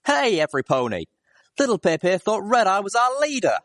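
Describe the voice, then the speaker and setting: A man voicing an excitable male pony companion who is incredulous and mocking. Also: sits high, brisk, no room sound, clean studio sound, pitched above the performer's own voice. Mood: mocking disbelief.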